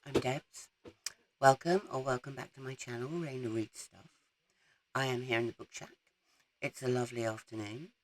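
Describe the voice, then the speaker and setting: A woman, croaky from a head cold, chatting casually about her week's reading and rambling with frequent ums. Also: rumbling low, fairly even intonation, normal speed, long pauses, slight background noise, poor audio. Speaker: book vlogger. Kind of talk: casual reading wrap-up chat. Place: small garden shed.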